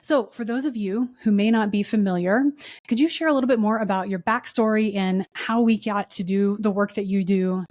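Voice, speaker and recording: A woman's voice: medium pitch, a little mellow. Woman hosting an interview podcast. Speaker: podcast host. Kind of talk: scripted podcast introduction and interview.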